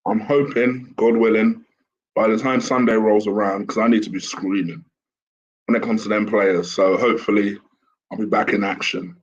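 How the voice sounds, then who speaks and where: A man, hoarse from a sore throat, hosting a casual solo commentary show in a chatty, rambling way. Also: lowish voice, steady tone, normal speed. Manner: chatty, rambling with fillers and restarts. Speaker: host. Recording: dull lo-fi home recording with fan.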